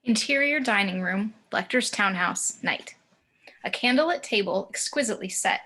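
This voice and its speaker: A woman with a mid-pitched voice narrates stage directions from a screenplay. She reads descriptively in short, deliberate phrases over a video call. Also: animated, sharp tone, low-quality recording. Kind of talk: scripted screenplay narration, table read.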